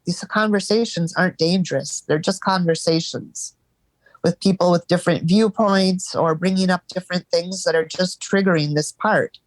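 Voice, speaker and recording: Halting, stuffed-up woman in a therapy call. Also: on the low side, dry recording, rough recording. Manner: halting, searching for words. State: stuffed up from crying. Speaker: therapy client. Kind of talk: live therapy session.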